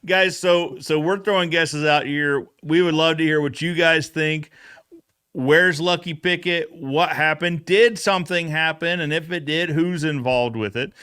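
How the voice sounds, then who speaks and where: A man hosting a casual, bantering recap show in an animated, informal way. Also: fairly high pitch, a little slow, clear, resonant tone. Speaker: show host.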